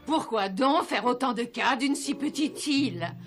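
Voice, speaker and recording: A woman's voice: a little high, expressive, a little slow. Casting: scheming, vain fairy tale noblewoman, boastful haughtiness, raised register, prominent score behind. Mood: dismissive, boastful. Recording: music behind the voice.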